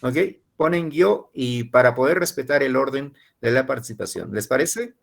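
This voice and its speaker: Gravelly-voiced man running an online class. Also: mid-range voice, animated, a little fast. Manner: switches between Spanish and English, gives instructions. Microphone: video call.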